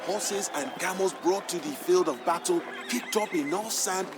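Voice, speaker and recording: Actor as a solemn griot storyteller, higher-pitched man. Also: noisy background, close to an everyday voice. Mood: grave, suspenseful. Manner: grand, measured, emphatic storytelling. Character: proud male griot, a king's humble family historian, solemn storyteller. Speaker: narrator. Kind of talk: dramatic scripted narration for a strategy game campaign. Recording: music behind the voice. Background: background music.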